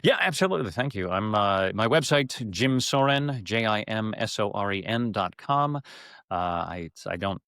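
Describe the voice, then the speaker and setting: A man's voice: fairly low pitch, animated, moderate pace, a bit bright, clear, resonant tone, dry recording, clean studio sound. A middle-aged man chatting casually as an interview guest, candid and often interrupting himself mid-thought as he tells stories. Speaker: interview guest, stage and radio theater actor.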